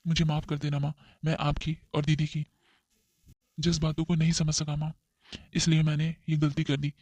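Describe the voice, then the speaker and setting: Cartoon son male actor, young adult, slightly high voice, flat melody, earnest, dry close sound. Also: moderate pace, no echo. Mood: remorseful, earnest. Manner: insistent, earnest. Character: practical, stubborn young adult son and brother. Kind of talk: amateur cartoon voice acting.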